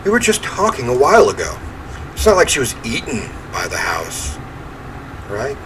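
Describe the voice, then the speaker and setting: Expressive man reading horror, ambient noise behind. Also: mid-range voice, normal speed. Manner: expressive, theatrical delivery. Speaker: game player reading aloud. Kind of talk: dramatic read-aloud of first-person horror story.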